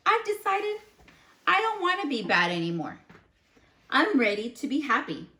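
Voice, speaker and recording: A woman's voice: high-pitched, huge pitch swings, normal speed, pushed and bright, voice pushed up, heavily acted. Woman reading as earnest reformed storybook bad seed, echoey. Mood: hopeful, sheepish.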